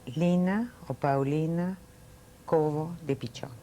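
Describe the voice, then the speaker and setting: A woman in her late sixties, born in Italy, telling her life story in an oral history interview, reflective and unhurried with frequent fillers. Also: low voice, mellow, slight background noise. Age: 60s.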